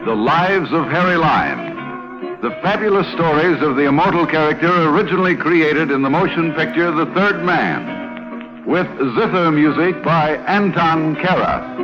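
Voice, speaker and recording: A man's voice: on the high side, sing-song, a little slow, long pauses. A man announcing a 1950s radio show in a grand, dramatic scripted style. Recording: muffled 1950s radio broadcast. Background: music.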